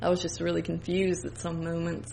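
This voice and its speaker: A woman with a creaky, fairly low voice chats as a podcast co-host. She reviews a film in an informal, conversational way, with vocal fry running through her speech. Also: completely flat delivery, brisk, a little mellow, slight background noise, poor audio. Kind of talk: casual film review chat.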